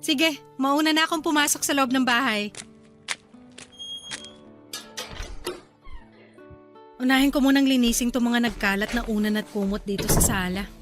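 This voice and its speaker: A woman's voice: on the high side, fairly quick, close to an everyday voice. A woman playing a resentful young wife and mother in a Filipino radio drama, with a Filipino accent. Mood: polite, accommodating. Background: music.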